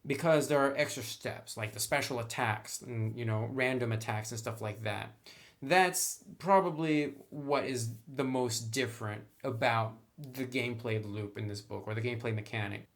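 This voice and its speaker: A man's voice: mid-range voice, normal speed. A man hosting a video review, speaking casually with emphatic, informal opinions. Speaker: game reviewer, video host.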